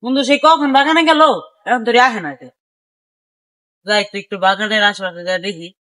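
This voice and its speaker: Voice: mid-range voice, normal speed, bright, forceful tone, natural voice. A performer playing a frightened young visitor from the city in an amateur comedy horror skit, speaking in an earnest, pleading way. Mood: worried. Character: frightened young city visitor seeking to avenge his friend.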